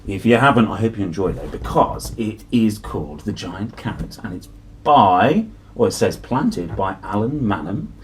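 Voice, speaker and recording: Male picture-book reader, mid-pitched, very lively intonation, playful and exclamatory for a young audience, some background noise. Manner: playful, exclamatory, drawn-out storytelling for children. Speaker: host reading picture books aloud. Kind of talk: animated storybook read-aloud with chatty asides.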